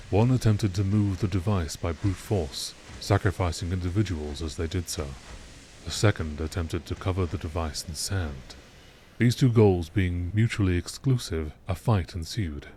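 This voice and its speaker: A man reading scripted lore texts in a nature documentary narration style, with measured, deliberate phrasing. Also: low-pitched, a little mellow, slight background noise.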